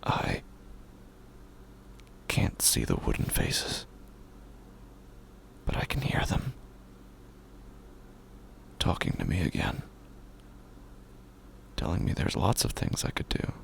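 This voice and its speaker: A man acting a haunted widower sliding into madness in a horror audio drama. His very deep voice is thick with creak and often thins to a breathy near-whisper. He broods haltingly, with pitch that swings widely between pauses. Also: huge pitch swings, a little hiss or hum, full character voice. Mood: haunted, resolute. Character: grieving, haunted widower slowly unraveling into madness. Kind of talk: first-person horror audio drama monologue.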